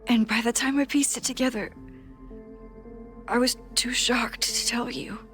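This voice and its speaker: An earnest young woman in a story-driven video game. She speaks at an unhurried pace, measured and hesitant, with a worried, determined sincerity. Soft music sits behind her voice. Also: a little high, fairly even intonation. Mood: grave, confessional. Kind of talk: naturalistic video game drama acting.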